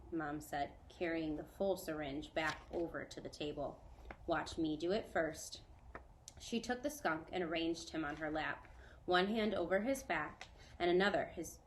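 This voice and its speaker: A woman teacher reading a children's novel aloud to a student, clear, measured and gentle. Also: low voice, slight background noise.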